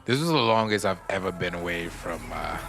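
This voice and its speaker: A man's voice: low voice, expressive, fairly quick, gravelly, music in the background. A man from Trinidad, a podcast guest, telling travel stories in a laid-back, laughing way. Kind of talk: casual podcast chat.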